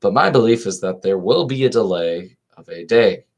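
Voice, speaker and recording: A man reading the part of a calm old philosopher resigned to death, composed, formal and questioning. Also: fairly low pitch, normal speed.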